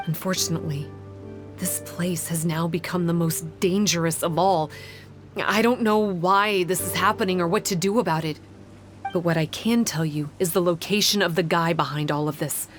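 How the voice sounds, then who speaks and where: A woman playing a composed, analytical guide in a fantasy game, speaking in a measured, formal, explanatory way. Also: fairly low pitch, steady tone, soft background music, close to an everyday voice. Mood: composed, serious. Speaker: game character giving quest briefing. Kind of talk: scripted game dialogue.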